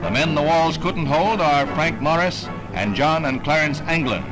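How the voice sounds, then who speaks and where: An American man narrates a 1960s newsreel with formal, dramatic announcer polish. His voice sits a little high for a man, and prominent music runs behind it on the vintage soundtrack. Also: moderate pace, low-quality recording.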